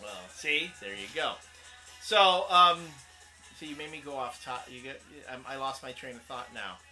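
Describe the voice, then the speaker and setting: A man's voice: medium pitch, normal speed, bright, forceful tone, soft background music, poor audio. A man co-hosting a casual comic book talk show, rambling and restarting as he defends his opinion. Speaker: co-host.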